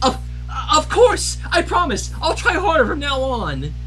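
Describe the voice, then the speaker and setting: A man's voice: very high voice, huge pitch swings, unhurried, pushed and bright, soft background music, voice pushed up, exaggerated voice. A man voicing an eager, submissive female unicorn pony, pleading in an amateur fan fiction reading. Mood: eager, desperate.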